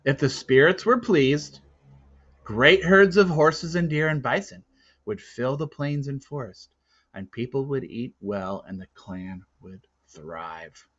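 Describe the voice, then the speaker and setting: Male read-aloud narrator, children's novel, dramatic phrasing, unhurried pace, slightly dull recording. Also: medium pitch, animated, fairly bright tone. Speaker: story reader.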